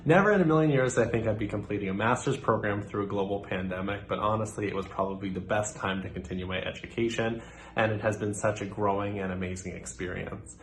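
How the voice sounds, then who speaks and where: A young man from Nova Scotia, a social work student giving a prepared presentation in an earnest, measured way with occasional fillers. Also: fairly low pitch, slight background noise. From Nova Scotia, Canada.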